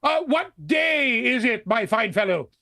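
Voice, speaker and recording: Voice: mid-range voice, big pitch swings, a little slow, sharp tone, dry recording, studio quality, exaggerated voice. An actor playing an elderly reformed miser in a vintage radio drama, stammering and exclaiming with great feeling. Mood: excited, genial. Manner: stammering, breathless exclamations with frequent chuckles.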